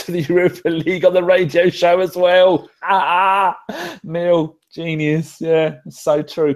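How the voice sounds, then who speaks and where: A man's voice: medium pitch, darkish tone. A middle-aged man hosting a football podcast, chatting in a jokey, rambling, sweary way over a video call. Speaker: podcast host. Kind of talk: casual football banter. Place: home room.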